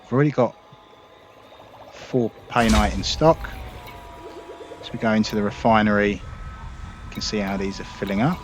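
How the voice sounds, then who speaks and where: Calm low man over loud game noise. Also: normal speed, long pauses. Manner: calm, explanatory, thinking aloud while playing. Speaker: gameplay video host. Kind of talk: casual gameplay walkthrough. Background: loud spaceship game sounds.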